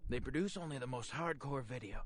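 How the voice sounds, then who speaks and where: A sleazy, cagey proprietor of a seedy adult shop, played by a man, in video game dialogue. He talks in brash street slang at a middling pitch, over noticeable background noise. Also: expressive, brisk, close to an everyday voice. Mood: wary, warning.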